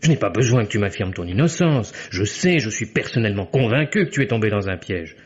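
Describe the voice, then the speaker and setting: A dull, old-fashioned radio recording carries a young man with a fairly low voice. He is a loyal, earnest friend and lawyer who speaks emphatically, in the theatrical manner of a fifties radio play. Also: animated, fairly quick, husky. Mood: urgent, pleading. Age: young adult. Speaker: radio drama actor. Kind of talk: 1950s French radio drama acting.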